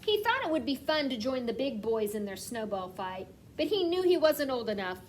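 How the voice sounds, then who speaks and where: A woman reading a picture book aloud like a kindergarten teacher, stressing words expressively and putting questions to the children. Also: on the high side. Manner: asks questions and pauses as if children answer; expressive storytelling with slowed, emphasised words. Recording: clear background noise.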